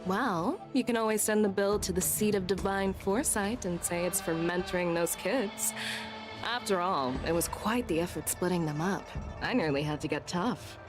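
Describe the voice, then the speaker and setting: A woman's voice: on the low side, moderate pace, close to an everyday voice, poor audio. An actress playing a bold female general in a video game, commanding, blunt and nonchalant. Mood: casual, teasing. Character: bold, brisk, self-assured female general and warrior. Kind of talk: scripted game voice acting. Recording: game music behind.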